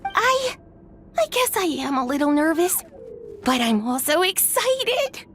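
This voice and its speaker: A woman's voice: very high-pitched, very animated, measured pace, heavily acted. A woman voicing a small, innocent boy dragon in a video game, earnest, childlike and a little shy. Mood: nervous, hopeful. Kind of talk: video game character acting. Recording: faint background noise.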